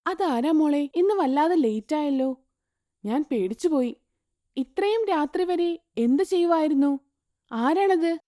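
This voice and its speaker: A woman's voice: sits high, expressive, measured pace, pauses often, dark tone, no room sound, clean studio sound, voice pushed up. A woman voicing a kindly mother in a storybook tale, with a motherly manner. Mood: anxious. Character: worried, kindly mother of a grown daughter.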